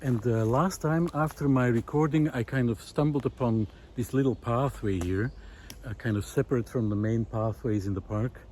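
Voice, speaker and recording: A man talking unscripted and reflectively to camera outdoors in a wooded park, pausing often mid-sentence between short phrases. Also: medium pitch, darkish tone. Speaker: vlogger. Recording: clear outdoor background noise.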